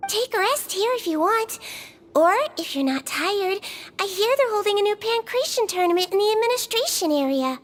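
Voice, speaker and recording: A woman voicing a small, kind, unfazed nonhuman head nurse in a video game, gentle, patient and playfully matter-of-fact. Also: very high-pitched, lively intonation, moderate pace. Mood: friendly, encouraging. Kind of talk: English video game voice acting.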